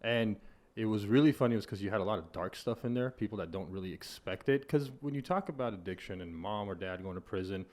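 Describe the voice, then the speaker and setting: Low-voiced man hosting a podcast. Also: fast talker, darkish tone. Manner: thoughtful, rambling, with restarts. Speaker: podcast host. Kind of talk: casual interview chat. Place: home studio with hexagon wall panels. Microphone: boom-mounted studio mic with headphones.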